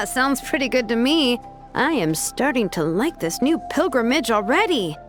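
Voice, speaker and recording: A laid-back, teasing young game heroine. Her voice sits fairly high and moves around a lot, with a casual drawl and a cheeky streak, music playing underneath. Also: very animated, unhurried. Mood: easygoing. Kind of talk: video game character dialogue. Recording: music behind the voice.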